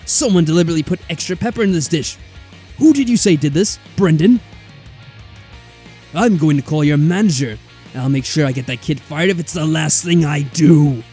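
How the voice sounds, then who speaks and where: A man acts out an irate male customer from a visual novel game. He is melodramatic and threatening, with pitch pushed up and swinging widely. Music plays faintly behind. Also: expressive, normal speed, warm tone, lightly acted. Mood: indignant, threatening. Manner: overdramatic, threatening.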